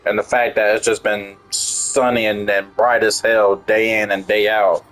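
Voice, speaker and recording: A man's voice: lowish voice, level tone, a little slow, fairly bright tone, low-quality recording. A man giving casual, blunt, profane and slangy reactions as he plays through a game. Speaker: gamer reacting to game story. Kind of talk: casual gameplay commentary.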